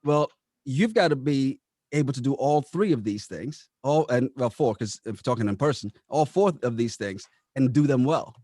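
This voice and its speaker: A man's voice: mid-range voice, lively intonation, normal speed, dark tone, dry recording, clean studio sound. A man answering interview questions conversationally, explaining things and often posing rhetorical questions as he goes. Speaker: radio operations manager being interviewed.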